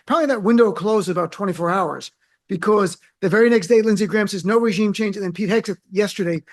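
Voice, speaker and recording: High-voiced man hosting a radio show. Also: fast. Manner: chatty and wry, asks long setup questions. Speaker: radio talk show host. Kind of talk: conversational radio interview.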